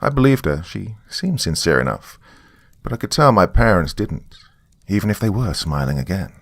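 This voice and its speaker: Deep, creaky-voiced man telling a story. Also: big pitch swings, normal speed, no echo, clean studio sound. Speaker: narrator. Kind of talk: first-person story narration.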